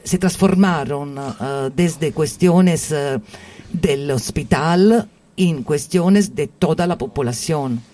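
A man with an Italian accent speaking Spanish as a second language, slow and halting, often searching for words in a discussion. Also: fairly high pitch, dark tone. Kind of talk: discussion about psychiatry.

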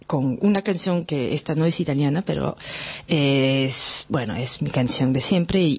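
A woman's voice: sits low, a little mellow, breathy edge. A woman from France with a French accent, hosting a community radio show in a rambling, playful, chatty way, full of fillers. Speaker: radio host. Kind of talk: casual radio chat.

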